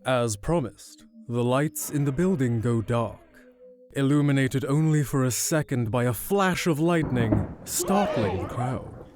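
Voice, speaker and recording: Mid-pitched male story narrator. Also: lively intonation, measured pace, darkish tone, faint music behind. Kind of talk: scripted audio drama narration.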